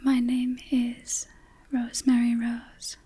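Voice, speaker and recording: A woman whispers a guided meditation in a very breathy, airy voice. She goes extremely slowly, with long pauses between short phrases. Her delivery is soothing and stays on an even, narrow line of pitch. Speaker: ASMR host, meditation guide.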